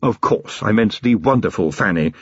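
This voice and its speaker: A man's voice: lowish voice, animated, normal speed, slightly rough, no echo, dull audio. A man voicing a cold, ironic middle-aged city tyrant who speaks in a dry, precise, sardonic way.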